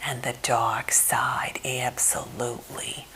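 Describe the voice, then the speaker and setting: A middle-aged woman playing a quirky, chatty therapist in an ASMR role play, whispering softly and hesitantly in a reassuring way. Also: rumbling low, flat delivery, slow, voice pushed down, heavily acted, low-quality recording. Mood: attentive, soothing. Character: quirky, soothing, chatty female therapist welcoming a famous client. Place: indoor room at home.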